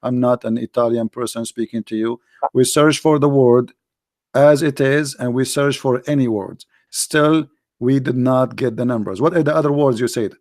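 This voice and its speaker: A man's voice: mid-range voice, moderate pace, darkish tone, no room sound. A man whose first language is Arabic, hosting a live unscripted debate in an insistent, challenging manner, often repeating himself. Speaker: host debating a guest.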